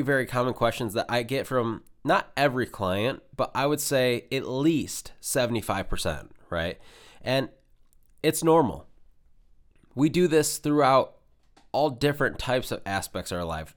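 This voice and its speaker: A man's voice: mid-range voice, expressive, normal speed. Guy with a cold hosting his podcast, kinda nasal, keeps saying right and okay. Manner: direct, coaching, fillers like "right?" and "okay?". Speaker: podcast host. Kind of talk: casual solo podcast talk.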